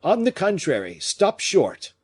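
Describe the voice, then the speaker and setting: A man reading an audiobook, voicing a proud, agitated French nobleman who gives sharp commands. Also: sits high, wildly swooping pitch, unhurried, slightly rough, voice pushed up, exaggerated voice. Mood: imperious. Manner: imperious, exclamatory.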